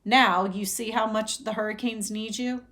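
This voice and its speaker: A woman's voice: on the high side, steady tone, moderate pace, fairly bright tone. A woman voicing a boisterous, cocky teenage boy football player from a novel, bellowing and gleeful.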